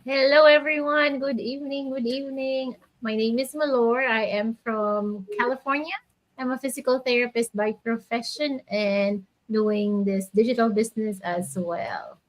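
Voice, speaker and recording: A woman, a physical therapist, giving a brief, bright introduction as a guest on a distant call mic. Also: a little high, steady tone, moderate pace.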